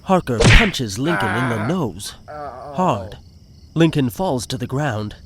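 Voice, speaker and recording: A man's voice: medium pitch, animated, slow, crowd noise. A man reading scripted narration for an audio drama. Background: music.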